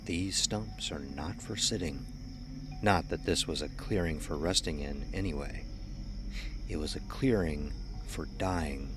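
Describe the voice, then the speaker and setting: Male narrator, deep pitch, ominous, measured dramatic horror storytelling, music behind. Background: music under the voice.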